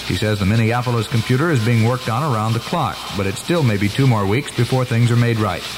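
A man's voice: mid-range voice, fast. An American man reading a scripted radio news report. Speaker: radio newsreader. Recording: noisy old radio broadcast tape.

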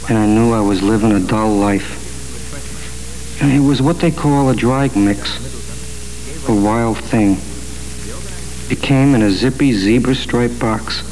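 A man delivering a wry, deadpan comic monologue for a 1960s radio commercial. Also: fairly low pitch, poor audio. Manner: deadpan, understated. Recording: old AM radio ad, faint music.

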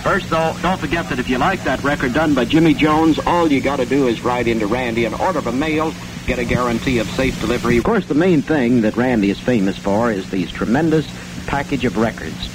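An American man working as a radio DJ, pitching mail-order records in folksy, colloquial 1960s patter. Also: a little fast. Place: radio studio. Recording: noisy 1960 AM radio aircheck.

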